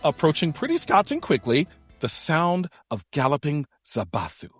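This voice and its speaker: Give me a man playing a melodramatic young adventurer, swooping pitch. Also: huge pitch swings, moderate pace, fairly bright tone, husky, full character voice. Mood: dramatic storytelling. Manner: florid, dramatic storytelling. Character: theatrical, melodramatic young male adventurer narrating a quest. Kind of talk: scripted audio drama narration. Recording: music behind the voice.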